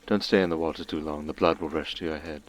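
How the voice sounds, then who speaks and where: A man playing a scheming vampire archduke in an ASMR roleplay, suave, teasing and formally measured. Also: a bass voice, fairly even intonation. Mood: calmly scheming.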